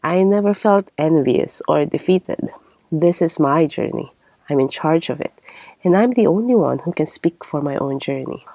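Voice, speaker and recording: Casting: woman, thirties, podcast host with a low register, close dry sound, articulate and reflective scripted delivery. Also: expressive, normal speed, mellow, no room sound. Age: 30s.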